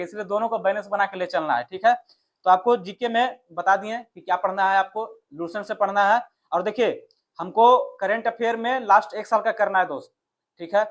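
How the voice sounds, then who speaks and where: A man with a Bihar accent coaching informally for an exam, addressing listeners familiarly and often answering his own questions. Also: high voice. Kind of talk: informal exam strategy lesson.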